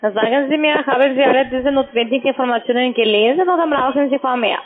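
A woman's voice: sits high, quick pace. A woman working as a call centre agent, questioning a customer in broken, non-native phrasing over the phone. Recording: tinny narrow phone line.

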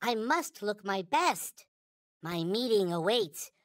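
A man voicing a small, naive, reverent toy space alien in a video game, with a high childlike cartoon voice and solemn, stilted, formal phrasing. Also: lively intonation, slow, fairly bright tone, no echo, clean studio sound, heavily acted. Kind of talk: video game character voice lines.

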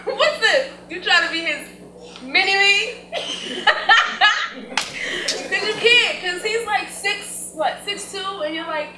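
A woman's voice: very high-pitched, expressive, slow pace, long pauses, full character voice. A woman playing a sassy, giggly young woman in a comic stage scene, teasing and mocking. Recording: noisy echoey hall stage recording.

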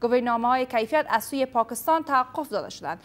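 High-voiced woman news anchor. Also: lively intonation. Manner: formal, measured. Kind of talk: news reading and interviewing. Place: TV news studio.

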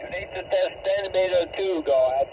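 A man's voice: high voice, fairly quick, a little mellow, dull audio. Noisy shortwave radio, elderly Finnish man. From Finland. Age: 70s or older. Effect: shortwave radio transmission. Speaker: amateur radio operator. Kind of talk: ham radio contact chat. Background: radio static.

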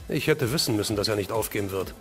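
A man's voice: fairly low pitch, lively intonation, quick pace, faint music behind, close to an everyday voice. A man playing a determined, serious crime-fighting hero in an audio drama. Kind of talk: German fan audio drama acting.

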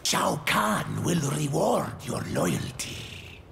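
An actor playing a sinister schemer in a video game, with a gravelly voice that slips toward a whisper. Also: male voice, fairly high pitch, very animated, drawn-out words, exaggerated voice. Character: sinister, slow-speaking schemer offering dark bargains. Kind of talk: video game character banter. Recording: noisy game ambience behind.

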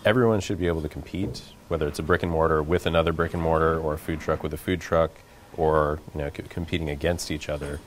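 Brief: middle-aged man, extremely low voice, easygoing explainer, faint room noise. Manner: relaxed, explanatory, slow. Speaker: advocacy director. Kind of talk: interview. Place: indoors on a couch.

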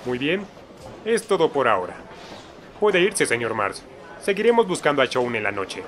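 Probing police lieutenant, acted by a man, lively intonation. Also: medium pitch, normal speed, a little hiss or hum. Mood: businesslike. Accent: Latin American. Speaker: fan dub voice actor. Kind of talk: dramatic video game dub.

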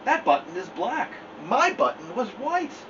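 A fussy, whiny toad, put on by a man reading a storybook aloud, pitched well above the reader's own voice and swinging up and down as he complains. The sound is dull and lo-fi, picked up from some way off the mic, with an air conditioner humming clearly behind it. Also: big pitch swings, measured pace, far from the mic, background noise. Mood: complaining. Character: fussy, easily upset male toad.

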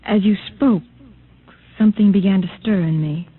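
An actress playing a composed, measured woman scientist in a dystopian 1950s radio drama. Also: medium pitch, slightly rough, lightly acted. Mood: softening. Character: composed, cool female scientist of dystopian future. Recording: muffled, noisy old radio broadcast.

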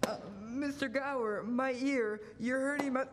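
Boy shop helper young male actor, high voice, earnest and anxious, live radio play with hall reverb. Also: fairly even intonation, a little slow, fairly bright tone, slight background noise. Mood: pleading, anxious. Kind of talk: stage radio-play acting. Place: school auditorium stage.

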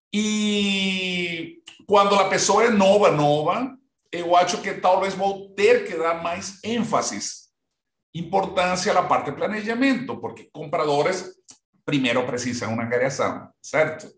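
Trainer, male, 40s, Venezuelan accent, halting delivery with repeats, a touch high, roomy echo. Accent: Venezuelan Spanish. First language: Spanish. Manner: halting, repeats words, mixes in Spanish. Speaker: real estate trainer. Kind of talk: online training session. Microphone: laptop mic on a video call.

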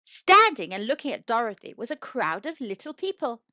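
A woman reading a children's story aloud as narrator, in animated storytelling style. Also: fairly high pitch, normal speed, no echo, lo-fi sound.

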